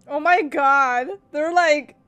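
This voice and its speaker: A young woman giving casual, expressive reaction commentary as a gaming streamer, exclamatory and slangy. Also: sits high, slow. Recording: game music under the commentary.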